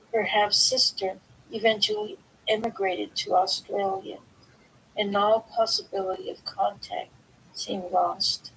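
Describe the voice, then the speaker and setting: A woman reading a book aloud informally, in a halting way, sometimes stumbling over words and correcting herself. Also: mid-range voice, a bit bright, slight background noise, poor audio. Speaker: reader of a book aloud, video host. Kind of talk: informal read-aloud of a self-help philosophy book.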